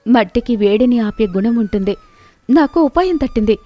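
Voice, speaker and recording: A woman's voice: a little high, animated, normal speed, warm tone, lightly acted. Woman voicing a patient, resourceful young village daughter-in-law. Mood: bright, determined.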